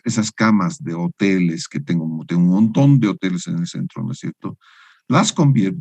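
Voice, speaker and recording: A man teaching an engineering class over a video call, with a lowish voice. He lectures in a didactic way and keeps asking his students for agreement. The sound is dry and close, with no room around it. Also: a little mellow, dry recording. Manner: didactic, keeps adding the tag question "no es cierto". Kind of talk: online university lecture.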